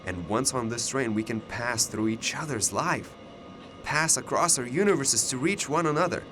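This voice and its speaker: An actor in a radio drama playing a mysterious older alternate self, fatalistic and persuasive, speaking in a deliberate, ominous way. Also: male voice, mid-range voice, fairly bright tone, soft background music, close to an everyday voice. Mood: solemn, persuasive.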